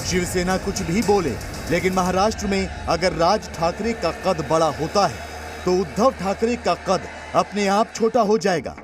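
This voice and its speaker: A man with a high-pitched voice narrates a scripted news report. His delivery is emphatic and dramatic. Prominent music plays underneath him throughout. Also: normal speed. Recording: voice-over with music bed.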